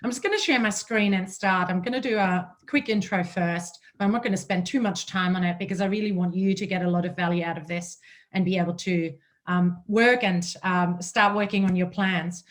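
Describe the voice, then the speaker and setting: A woman's voice: medium pitch, a little fast. A woman in her forties from Germany with a German accent, presenting a webinar as a leadership coach, enthusiastic and fluent.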